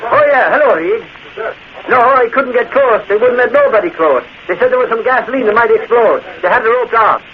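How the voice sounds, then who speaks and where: An old, noisy radio recording carries a blustering male reporter. His voice is high and swoops wildly with indignation. He talks in folksy exclamations, like an excitable former cop in a 1940s radio drama. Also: brisk, phone-quality sound. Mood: grumbling. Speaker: newspaper reporter.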